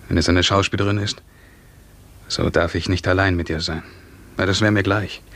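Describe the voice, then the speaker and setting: A quietly proud serf hairdresser tells of his lot in a 1950s radio play. His voice is deep, his delivery calm, measured and resigned.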